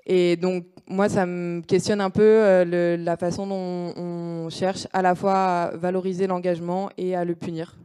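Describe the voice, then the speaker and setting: A woman's voice: fairly low pitch, flat delivery, measured pace, hardly any pauses, dark tone, clear, resonant tone. A young woman asking a hesitant question at a public debate, with many fillers, speaking into a handheld microphone in a large room. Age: young adult. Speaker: audience participant.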